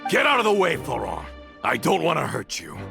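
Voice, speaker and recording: Gravelly, bitter game villain. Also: male voice, huge pitch swings, a little fast, exaggerated voice. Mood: bitter, accusing. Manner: accusing, swings from low menace to shouts. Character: bitter vengeful middle-aged male villain. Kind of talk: game voice acting. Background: game music.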